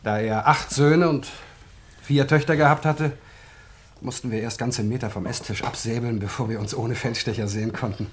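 Actor as genial, wry country husband, faint noise. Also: male voice, medium pitch, fairly even intonation, normal speed, lightly acted. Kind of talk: German radio play dialogue.

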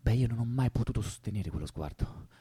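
An actor in a radio drama playing an anguished young revolutionary poet, fervent and pleading, with a husky voice. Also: male voice, on the low side, lively intonation, brisk, dark tone, dry recording, studio quality. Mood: anguished recollection. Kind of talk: theatrical radio drama acting.

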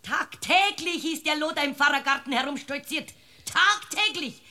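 A woman's voice: normal speed, sharp tone. A woman playing a hot-headed, prim and spiteful priest's housekeeper with a Bavarian dialect in a comic radio drama. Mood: combative. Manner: shrill, righteous, combative.

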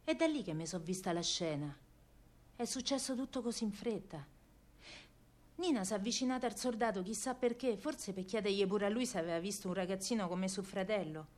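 A woman's voice: mid-range voice, a little fast, a little mellow, close to an everyday voice. An actress playing a feisty working-class woman of wartime Rome, telling her story in an earthy, colloquial Roman accent, as in a radio drama. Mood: hushed, grief-stricken. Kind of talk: dramatic first-person radio monologue in dialect.

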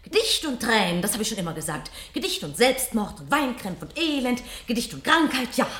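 A haughty, prudish matron in a 1970s radio drama. She scolds huffily in a highish voice with lively swoops, in a roomy, faintly noisy recording. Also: a little fast. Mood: scornful. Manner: huffy, scolding. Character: haughty, scolding, prudish married woman, easily offended. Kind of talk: 1970s German radio play acting. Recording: echoey, slightly noisy old radio recording.

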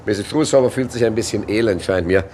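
An actor playing a gallant, self-pitying gangster hero in a German radio comedy, effusive and mock-soulful. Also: male voice, lowish voice, fast talker, background noise, lightly acted. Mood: gallant, reassuring.

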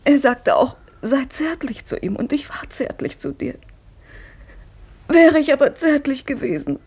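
Fatime, a clever, playful wife. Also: female voice, high voice, very animated, unhurried, darkish tone, full character voice. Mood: intimate. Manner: playful, earnest and intimate. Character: Fatime, a clever, devout, businesslike young wife. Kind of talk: 1950s radio drama acting.

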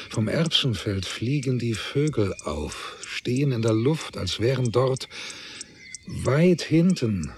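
A man narrating a literary radio drama in a measured, solemn manner. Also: mid-range voice, a little mellow, a little hiss or hum.

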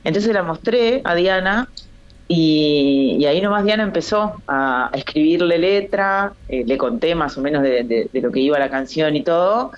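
A woman from the south of Córdoba province who talks with a Santa Fe accent. Her voice sits low, and she chats easily, doubling back over her words with fillers. The sound is dull and lo-fi. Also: expressive, moderate pace. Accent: Santa Fe Argentine Spanish. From Córdoba province, Argentina, near Santa Fe. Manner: chatty, hesitant, many restarts and fillers. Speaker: singer-songwriter guest. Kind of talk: phone interview, casual chat.

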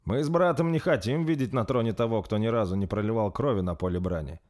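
A man's voice: fairly low pitch, fast talker, darkish tone, voice pushed up, lightly acted. A man voicing a proud, blunt warrior lord who speaks firmly.

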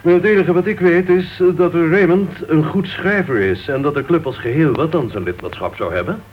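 A man's voice: fairly high pitch, brisk, breathy edge. An actor playing a wry, argumentative man who writes crime novels, teasing and ironic, in a Dutch radio play. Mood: persuasive. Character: wry, argumentative male crime writer, tolerant club member. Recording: dull, noisy old radio recording.

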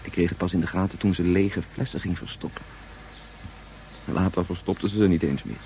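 An actor playing an anguished, regretful scientist of great age in a Dutch science fiction radio play, speaking haltingly and turning back on his own words. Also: sits low, dark tone, slight background noise. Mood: brooding, regretful. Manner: halting, repetitive, ruminative. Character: anguished 177-year-old scientist, brooding, regretful father.

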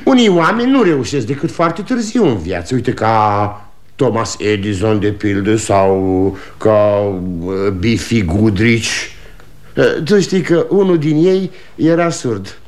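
Casting: male actor as stubborn, blustering old salesman father, rambling, highly animated pitch, 1960 radio-play delivery. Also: lightly acted. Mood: musing, hopeful. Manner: agitated, blustering, rambling with repeats and interjections. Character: aging salesman father, proud, stubborn, self-contradicting, muttering. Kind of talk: 1960 Romanian radio theatre acting.

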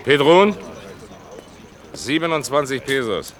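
An actor playing a brusque, impatient paymaster in a radio play, barking out names and sums. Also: male voice, medium pitch, very animated, slow, slight background noise. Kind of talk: German radio play acting.

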